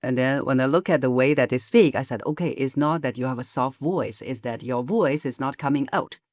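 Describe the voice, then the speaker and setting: Measured woman voice coach, dry close mic. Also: rumbling low, a little mellow, no room sound. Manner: measured, explanatory, frequent hesitations. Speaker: voice coach and author, guest. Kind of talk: podcast interview.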